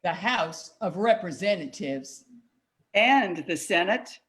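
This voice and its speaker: A woman giving a dramatic scripted narration as part of a reader's theater, with deliberate, emphatic delivery. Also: fairly low pitch, expressive, a bit bright.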